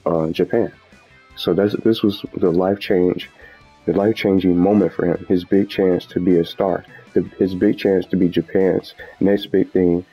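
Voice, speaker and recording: A man's voice: deep voice, warm tone, slightly rough. A man giving casual, unscripted commentary as a gaming video host, rambling and often repeating and rephrasing himself. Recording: dull lo-fi mic with background music.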